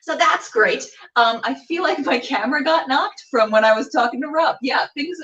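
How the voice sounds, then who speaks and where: A woman's voice: sits high, moderate pace, a bit bright, distant mic, muffled recording. A bubbly, theatrical woman chatting casually as she hosts a livestreamed show. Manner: bubbly, theatrical, self-mocking. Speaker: web show host. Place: indoor room with a door. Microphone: camera on a tripod, a little distant.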